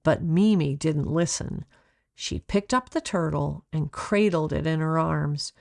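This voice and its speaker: A woman reading her own children's story aloud as a deliberate, expressive narrator, recorded close. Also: deep voice, creaky. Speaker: author reading own book.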